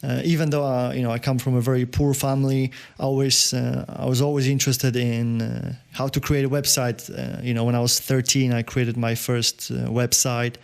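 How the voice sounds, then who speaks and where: Halting man. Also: mid-range voice, little pitch movement, vocal fry, clean tone. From Poland. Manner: halting, many fillers and restarts. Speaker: podcast guest, business owner. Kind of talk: conversational interview answers.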